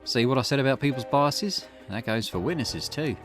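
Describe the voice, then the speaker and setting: Guy doing a cocky detective type from a visual novel, explaining stuff, very animated, soft music behind. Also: mid-range voice, moderate pace, lightly acted. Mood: sly. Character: shrewd, self-assured amateur sleuth reasoning out a crime.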